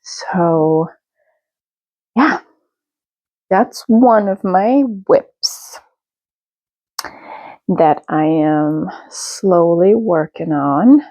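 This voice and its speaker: Slow, gravelly-voiced woman. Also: on the low side, lively intonation, warm tone, dry recording. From Europe. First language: Czech. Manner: slow, unhurried, chatty with small self-corrections. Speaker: knitting podcast host. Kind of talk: casual show-and-tell chat. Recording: slightly dull, dry lo-fi sound.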